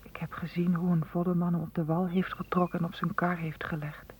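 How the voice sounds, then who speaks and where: An actor in a radio play voicing a sorrowful talking pond, solemn and measured as it tells grave news. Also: male voice, high voice, flat delivery. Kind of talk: radio drama acting. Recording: noisy old radio play recording.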